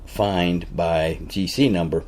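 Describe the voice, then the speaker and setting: Slow, deep-voiced man, rambling. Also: a little mellow. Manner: rambling, with many "uh" fillers and restarts. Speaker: podcast host. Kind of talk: casual podcast talk.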